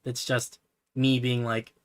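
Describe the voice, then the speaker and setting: A man streaming from his computer, chatting casually in a soft, relaxing voice, rambling with lots of fillers and self-deprecating asides. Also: medium pitch, level tone, takes it slowly, smooth, no echo. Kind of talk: casual livestream chat while building.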